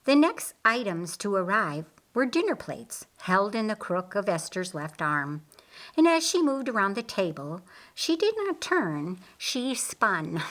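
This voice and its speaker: A woman reading a scripted story as an audiobook narrator, in a measured and expressive way. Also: mid-range voice.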